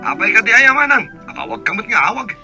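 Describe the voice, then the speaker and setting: Man as cheeky younger brother, high creaky voice, very sharp, argumentative, Ilocano accent, radio drama. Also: sing-song, a little fast, faint music behind, heavily acted. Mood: whiny. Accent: Filipino, Ilocano.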